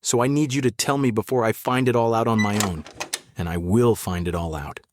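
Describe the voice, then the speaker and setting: An actor playing a middle-aged sheriff in a noir audio drama, a patient interrogator who is measured, terse and probing. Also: male voice, on the low side, noisy background, lightly acted. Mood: quietly menacing. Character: male small-town sheriff, calm, patient, steely interrogator.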